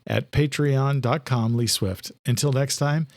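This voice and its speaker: A man's voice: mid-range voice, steady tone, unhurried, darkish tone, no room sound. A man narrating in a dramatic, scripted audiobook style, as an author reading aloud.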